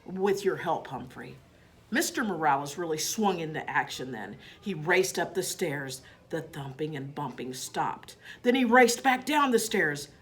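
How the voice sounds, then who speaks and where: A woman reading a children's story aloud as a teacher, in an expressive narrating style. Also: low-pitched, unhurried, hardly any pauses.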